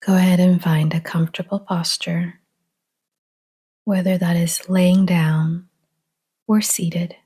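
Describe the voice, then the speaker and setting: A woman guiding a relaxation meditation in a soft, half-whispered, breathy voice, calm and gentle, with long pauses. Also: lowish voice. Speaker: meditation guide.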